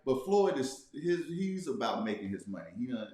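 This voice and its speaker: Male livestream guest, mid-pitched, laid-back and conversational, recorded in a strongly echoing room. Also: big pitch swings, moderate pace, dark tone. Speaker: guest, travel vlogger. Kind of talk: casual livestream chat. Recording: very echoey room.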